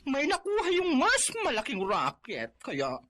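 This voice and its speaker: A gossipy Filipina film assistant in a radio drama. Her fairly high voice swings dramatically in pitch as she fawns and defers. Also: wildly swooping pitch, unhurried, a bit bright, heavily acted. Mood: gossipy, agreeing.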